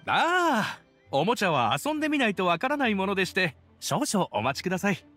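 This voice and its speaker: A man's voice: high voice, big pitch swings, brisk, a bit bright, faint music behind, full character voice. A man voicing a polite, eager young toy merchant in a video game, with courteous sales patter. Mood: polite, flustered.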